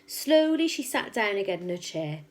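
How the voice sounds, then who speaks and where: A woman reading a children's story aloud like a teacher, with expressive, emphatic and suspenseful narration. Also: mid-range voice, normal speed.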